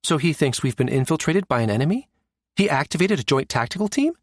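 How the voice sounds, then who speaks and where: A man's voice: fairly high pitch, lively intonation, fast, no room sound, pitched above the performer's own voice. A man performs a challenging woman navy officer in an audiobook. The voice is lifted well above a male register and presses with pointed questions. The sound is dry and close. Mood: probing. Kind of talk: audiobook character dialogue.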